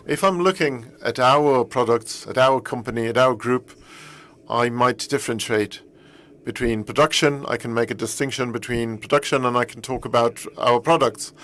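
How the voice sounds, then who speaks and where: A man's voice: medium pitch, fairly bright tone. A middle-aged man with a German accent, a native German speaker, giving a conference keynote in English, earnest and often repeating and rephrasing himself. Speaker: company executive speaking at a press event.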